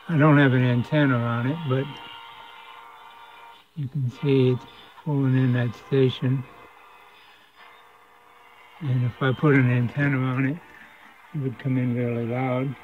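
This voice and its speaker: A man giving a casual hands-on demonstration as a radio restorer, explaining things in a halting way. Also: mid-range voice, little pitch movement, a little hiss or hum. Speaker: radio restorer presenting a repair. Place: indoor home electronics workshop. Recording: tinny, phone-like band-limited sound.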